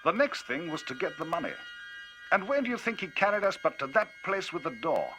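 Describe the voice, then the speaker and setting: Brief: a man voicing a worked-up gentleman narrator, lively swoops, forceful, husky. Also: fairly high pitch, brisk, faint music behind, voice pushed up. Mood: animated. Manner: emphatic, rising, animated storytelling.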